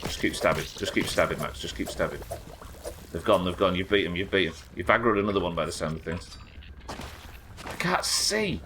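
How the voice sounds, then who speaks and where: A British man with a naturally croaky voice, chatting casually and swearing while streaming a game. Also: deep voice, expressive, brisk, long pauses, fairly bright tone, slight background noise. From United Kingdom. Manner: chatty, swears, reads chat aloud. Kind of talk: casual gameplay chat.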